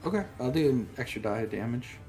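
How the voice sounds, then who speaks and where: A man's voice: medium pitch, animated, fast, dark tone. A man chatting casually as a player at a tabletop roleplaying game, working out his turn. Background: music.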